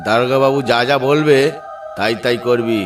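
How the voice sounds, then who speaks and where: A man's voice: mid-range voice, fairly even intonation, normal speed, distant mic, over music, natural voice. A man voicing a reassuring village headman who gives orders in a cartoon. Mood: reassuring, bossy. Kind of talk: cartoon voice acting.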